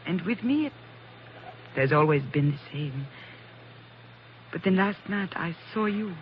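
A woman's voice: fairly low pitch, lively intonation, measured pace. An actress playing a languid, seductive worldly woman in a 1940s radio spy drama, speaking intimately with lingering hesitations. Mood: intimate, wistful. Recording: muffled, noisy 1940s radio recording.

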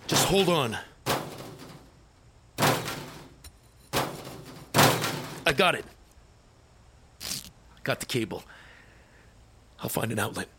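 An actor playing a weary, determined military veteran with failing implants in an audio drama, gritty and urgent. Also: male voice, mid-range voice, big pitch swings, a little slow, sharp tone, husky, full character voice. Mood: desperate. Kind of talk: cinematic audio drama acting with noir narration.

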